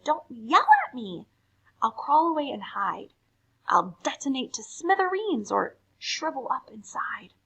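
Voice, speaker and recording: Woman acting a miserable, pleading child, husky. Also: high voice, a bit bright, voice pushed up, heavily acted, rough recording. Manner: dramatic, emphatic. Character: miserable child pleading against being yelled at.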